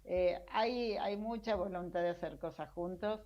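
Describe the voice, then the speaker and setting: A woman's voice: on the low side, unhurried, a little mellow. A middle-aged Argentine woman telling stories casually as an interview guest, self-deprecating and full of restarts. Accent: Argentine Spanish. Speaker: interview guest, science journalist. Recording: dull lo-fi sound.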